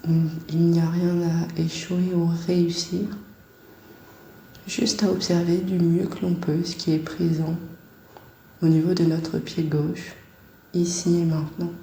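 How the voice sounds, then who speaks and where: A woman guiding a body scan meditation in a calm, soft-spoken, unhurried way with long pauses. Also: lowish voice, gravelly, roomy sound, a little hiss or hum, poor audio.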